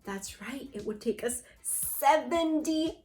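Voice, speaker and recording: Slow-paced woman teacher reading to young children. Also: sits high, faint music behind. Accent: American. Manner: animated, expressive, addressing pupils directly. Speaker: elementary school teacher. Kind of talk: read-aloud lesson for young children.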